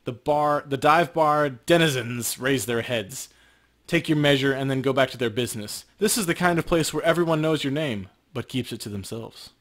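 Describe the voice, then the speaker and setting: A man with a medium-pitched voice reads video game text aloud as a narrator. He mixes in casual commentary as a let's play co-host. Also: moderate pace, fairly bright tone.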